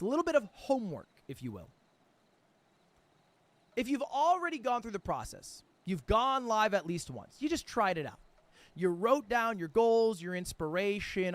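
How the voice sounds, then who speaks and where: A man's voice: high-pitched, no echo. A man giving emphatic, swearing motivational advice to streamers in a coaching tone.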